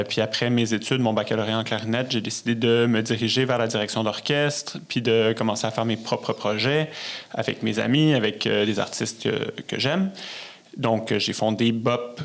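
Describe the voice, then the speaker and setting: A man in his thirties talks with a Quebec French accent and plenty of creak in a mid-pitched voice. He answers as a guest in a relaxed, conversational interview style. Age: 30s. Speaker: guest, classical musician and conductor. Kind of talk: casual interview chat.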